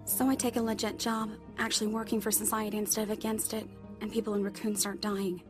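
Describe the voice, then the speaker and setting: A woman's voice: medium pitch, little pitch movement, fast talker, lightly acted. A woman playing a young, wry and determined female ex-police operative and former thief in a dramatic audiobook, in a sardonic inner monologue. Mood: bitter, resolute. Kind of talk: dramatic audiobook character acting. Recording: music under the voice. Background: music.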